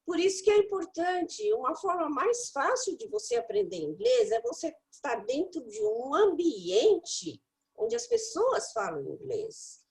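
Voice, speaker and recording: Middle-aged Brazilian woman guest, high lively voice, emphatic storyteller. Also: a little slow, low-quality recording. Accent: Brazilian Portuguese. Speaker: guest English teacher. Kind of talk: casual conversation.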